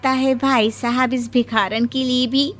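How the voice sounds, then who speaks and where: A woman's voice: high voice, steady tone, a little slow. Woman acting nosy, needling village neighbour. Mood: mocking. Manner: chatty, needling.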